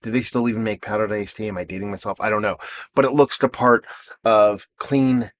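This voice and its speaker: A man in his thirties, hoarse from losing his voice, casually reviewing a beer with rambling, self-deprecating asides and lots of fillers. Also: lowish voice, fairly even intonation, a little fast. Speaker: beer reviewer and show host. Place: outdoors.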